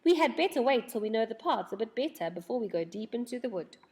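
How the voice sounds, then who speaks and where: A woman's voice: fairly high pitch, big pitch swings, quick pace, a little mellow. A woman voicing a bold, alert young boy in a children's storybook, with an urgent, decisive manner. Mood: cautious.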